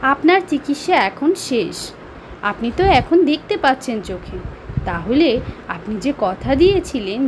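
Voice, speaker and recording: A woman's voice: sits high, noisy background. A woman voicing a greedy doctor in a storybook tale, formal and insistent in manner. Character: greedy male doctor, formal, demanding payment. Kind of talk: storybook dialogue.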